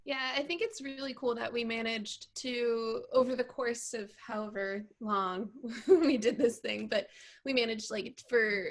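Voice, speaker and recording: A woman speaks casually in a group discussion among theatre performers. Her voice is pitched somewhat high, and her talk is informal and unscripted. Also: steady tone, measured pace. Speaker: theatre performer, panel participant. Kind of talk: casual chat.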